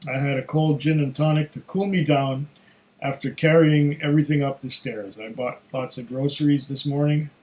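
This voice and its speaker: A man with a fairly high voice and a touch of hoarseness talks through a casual whisky review on his own. He takes his time, musing aloud and savoring as he goes, often as if chatting to himself. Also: fairly even intonation, normal speed, a little mellow, poor audio. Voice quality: slightly hoarse. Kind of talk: casual solo tasting review.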